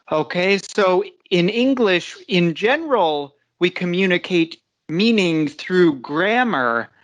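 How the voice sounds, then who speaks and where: Slow, easygoing male teacher. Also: high voice, fairly bright tone. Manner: unhurried, explains step by step with fillers. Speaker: guest teacher. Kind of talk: relaxed online English lesson. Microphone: video call.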